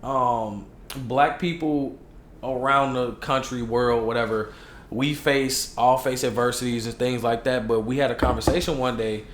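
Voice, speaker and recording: A man's voice: medium pitch, measured pace. A young man from Chicago with a Chicago accent, a hip hop artist talking candidly and in slang as a podcast guest. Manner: relaxed, slangy, candid storytelling. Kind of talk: casual podcast interview. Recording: echoey room with faint noise.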